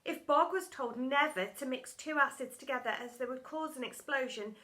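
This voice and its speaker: A woman reading a children's storybook aloud as expressive narration, with dramatic, emphatic storytelling. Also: sits high, normal speed. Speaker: primary school story reader.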